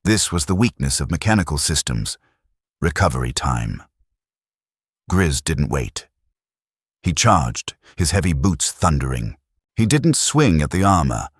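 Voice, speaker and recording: A man reads a science fiction audiobook in a very deep, husky voice. His delivery is dramatic and measured, with lively swings in intonation. The sound is close and dry. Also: dry recording. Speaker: narrator. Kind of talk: scripted audiobook narration.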